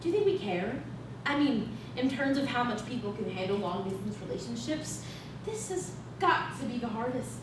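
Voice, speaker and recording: A woman's voice: fairly high pitch, big pitch swings, moderate pace. A woman playing a taunting, sarcastic estranged wife in a staged reading of a play. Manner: needling, sarcastic. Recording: echoey, noisy stage recorded from far off.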